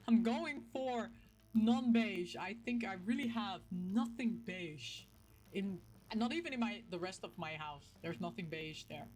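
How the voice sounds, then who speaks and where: A woman from the Netherlands with a Dutch accent chats casually to her viewers as a gaming vlogger, telling things in an animated, playfully emphatic way with little acted-out quotes. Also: mid-range voice, takes it slowly. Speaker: video game vlogger and host. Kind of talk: casual, chatty talk to camera.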